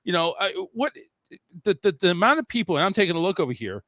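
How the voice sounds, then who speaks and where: Man, talk show host, lively pitch, bright voice, crude jokes, dry sound. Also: fast, dry recording. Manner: rambling, joking, crude. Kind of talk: casual talk show chat.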